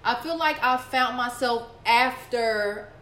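A woman's voice: a little high, takes it slowly, fairly bright tone, echoey room, a little hiss or hum. A woman in her late thirties co-hosting a podcast, talking casually and saying "like" a lot. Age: 30s. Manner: chatty, repeats herself, says "like" a lot. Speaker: podcast co-host.

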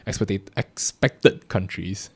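A man's voice: deep voice, takes it slowly, gravelly, husky. A man with a Singaporean accent co-hosting a casual podcast, rambling and often correcting himself as he goes. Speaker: podcast co-host.

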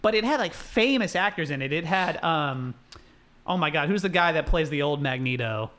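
A man's voice: a little high, lively intonation, normal speed, a bit bright. A tipsy young man co-hosting a podcast, chatting casually and rambling with fillers, laughs and swearing. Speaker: podcast co-host. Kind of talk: casual drunken chat.

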